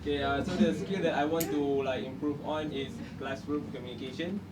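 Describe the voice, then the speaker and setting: A man's voice: on the high side, fairly even intonation, a little fast. A young man giving a classroom presentation as a trainee teacher, nervous and self-deprecating, with many restarts. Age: young adult. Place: university classroom. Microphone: far camera mic. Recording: distant mic, loud hiss.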